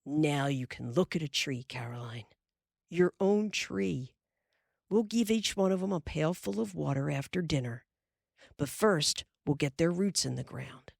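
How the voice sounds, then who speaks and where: A woman reading the part of a calm, firm pioneer father in a storybook. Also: low voice, a little slow, gravelly, no room sound, studio quality, exaggerated voice. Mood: gentle. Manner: firm, reassuring.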